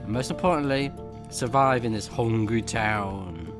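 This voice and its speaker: A man's voice: mid-range voice, measured pace, music in the background. A man recording a let's play, switching between casual off-the-cuff commentary and reading game text aloud. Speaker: let's player, game streamer.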